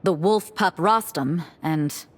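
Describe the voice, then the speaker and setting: An actress playing a composed, knowledgeable young woman in a video game, telling old lore in a measured, reflective way. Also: on the low side. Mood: composed, informative. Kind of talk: video game dialogue acting.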